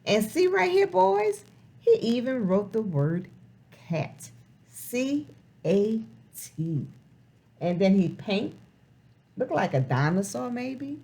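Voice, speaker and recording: A woman reading a picture book aloud to young children, expressive and sing-song, posing questions as she goes. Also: fairly high pitch, takes it slowly, gravelly, pauses often, breathy edge. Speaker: children's storybook reader.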